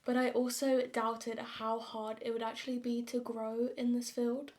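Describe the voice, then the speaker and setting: Teen girl w a southern english accent, kinda high voice, chatty casual vlog talk, bit self-deprecating. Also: flat delivery, unhurried, a little mellow, a little hiss or hum. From London, England. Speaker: vlogger. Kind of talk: casual get-ready-with-me chat to viewers.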